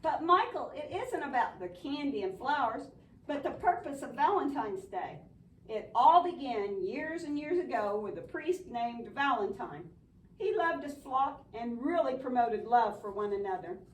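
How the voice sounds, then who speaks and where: A woman's voice: high voice, expressive, unhurried. A woman playing an earnest, patient but bossy young puppet friend in a church show, explaining and chiding. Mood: cheerful, explaining. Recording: echoey room, distant mic.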